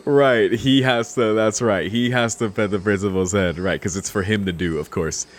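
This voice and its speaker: A man's voice: fairly low pitch, normal speed. A man streaming a let's play, amused and slangy, commenting casually and reading lines aloud.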